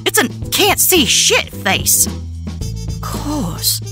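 A woman's voice: very high-pitched, huge pitch swings, drawn-out words, exaggerated voice. The blunt best friend in a cartoon dub, a woman acting, wry and exasperated, lilting widely over music. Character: sassy, exasperated young woman. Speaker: voice actor in a comic dub. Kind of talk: animated comic dub acting. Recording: music behind the voice.

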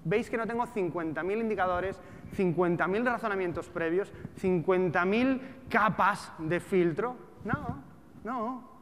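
A man's voice: high voice, a little slow, fairly bright tone. A man in his thirties with a European Spanish accent, giving an informal, colloquial talk to a live audience, full of rhetorical questions. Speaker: speaker, trader and trading educator. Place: large auditorium stage with seated audience. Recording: echoey hall with background noise.

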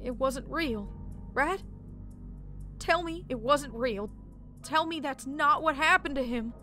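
A woman's voice: high-pitched, slow, sharp tone, soft background music, heavily acted. A woman voicing an injured, frightened, weary female country cartoon pony, subdued and folksy, in a horror story. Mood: pleading.

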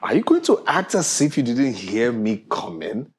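An actor with a Nigerian accent playing an impassioned young son and husband who insistently repeats himself in a screen drama. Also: male voice, medium pitch, wildly swooping pitch, moderate pace, slightly rough. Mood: confrontational. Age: young adult. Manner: insistent, repeats phrases for emphasis.

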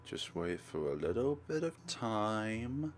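A man's voice: on the low side, very animated, takes it slowly. A man hosting a let's play, reading game text aloud and commentating with wry, theatrical asides. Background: game music.

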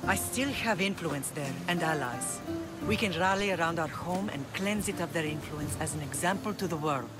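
A woman playing a solemn, measured middle-aged Spartan mother in a video game, warm toward her son. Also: fairly low pitch, close to an everyday voice. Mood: resolute. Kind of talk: dramatic video game acting. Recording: loud music behind.

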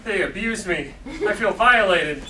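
A young man in a comedy table read playing an excitable, naive coffee shop worker in his early twenties. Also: high-pitched, a little slow, exaggerated voice. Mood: earnest. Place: small theatre stage, seated before an audience. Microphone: far camera. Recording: echoey, noisy, distant stage recording.

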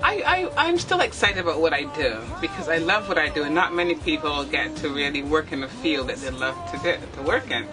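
A middle-aged woman from Barbados, a theatre makeup artist who explains her work step by step in a lively way and laughs often. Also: low voice, a little fast, few pauses, music in the background, rough recording. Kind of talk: demonstrating work while talking to the camera. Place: small backstage dressing room, indoors.

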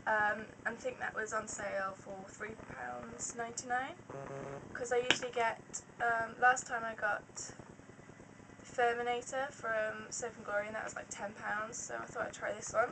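A young woman in her twenties chatting through a shopping haul to her webcam, slightly croaky, rambling with lots of ums. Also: a little high, little pitch movement, moderate pace, distant mic, noisy background. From Wales. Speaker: beauty vlogger. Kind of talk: casual haul video. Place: small room indoors. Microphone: webcam at close range.

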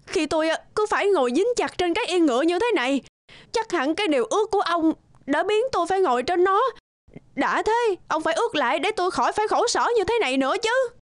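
A woman's voice: extremely high pitch, lively intonation, quick pace, no room sound, studio quality. A woman playing a bossy, exasperated middle-aged wife in a children's fairy-tale cartoon, nagging and scolding. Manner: scolding, exclamatory.

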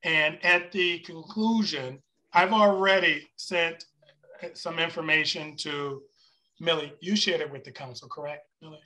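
A man chairing a formal meeting over a video call, explaining things in a measured way. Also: a little high, fairly even intonation, sharp tone, poor audio.

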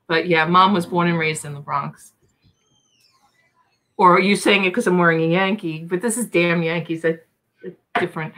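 A woman's voice: lowish voice, normal speed, pauses often, a bit bright. Casual New York woman hosting a live record auction from home, rambling and friendly in tone. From Long Island, New York. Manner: chatty, thinking aloud while reading album titles. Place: small home room full of shelves.

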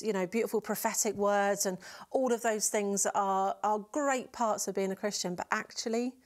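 Middle-aged woman hosting a faith show. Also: mid-range voice. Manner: warm, affirming, asks tag questions. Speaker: TV show host. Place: TV studio set.

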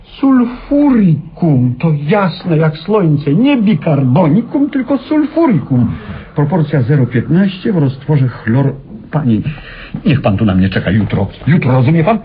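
A man acting in a vintage radio play as an eccentric, excitable chemist who rambles with melancholy, halting and then exclaiming theatrically. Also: fairly high pitch, a little hiss or hum. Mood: ecstatic. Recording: muffled old radio recording with music.

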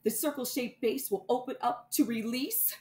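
Woman as a dramatic young boy space hero, storybook, exclamatory, high-pitched, echoey room. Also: normal speed, a little mellow, lightly acted. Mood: reassuring. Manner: theatrical, exclamatory. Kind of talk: children's storybook read-aloud.

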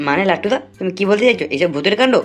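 Woman playing a quick-talking cheeky village boy. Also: mid-range voice, expressive, soft background music, lightly acted. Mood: curious. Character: cheeky, mischievous village schoolboy in a comic cartoon. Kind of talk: cartoon voice acting.

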